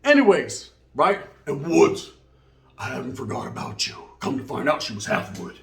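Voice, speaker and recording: A man's voice: mid-range voice, huge pitch swings, moderate pace, fairly bright tone. A man with a Chicano Californian American accent telling a comedic story casually, in a streetwise, slangy way peppered with Spanish words. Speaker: comic storyteller. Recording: roomy, echoey sound.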